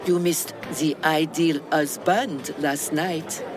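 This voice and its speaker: An actress playing a wealthy, worldly older widow, elegant and teasing, in an audio drama. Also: female voice, deep voice, steady tone, takes it slowly, over music. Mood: advising.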